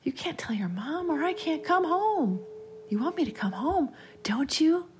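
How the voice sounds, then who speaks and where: Woman acting out high, coaxing ghostly little girl. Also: big pitch swings. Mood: pleading, coaxing. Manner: drawn-out, coaxing, persuasive. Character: sinister spirit posing as a sweet, coaxing little girl.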